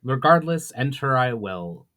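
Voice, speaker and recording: Man acting cool, measured, proud elf. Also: medium pitch, very animated, a bit bright. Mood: cool confidence.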